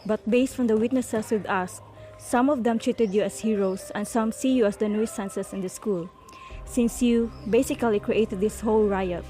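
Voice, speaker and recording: A woman's voice: medium pitch, steady tone, moderate pace, mellow, faint music behind, natural voice. A woman playing a stern, businesslike investigator in a radio drama, formal and insistent. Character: stern businesslike female investigator questioning students.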